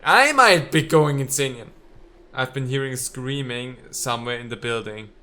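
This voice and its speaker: A man's voice: mid-range voice, animated, a little slow, pushed and bright. A man doing let's play commentary, reading game text aloud and reacting with sarcasm and exasperated swearing. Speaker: let's player.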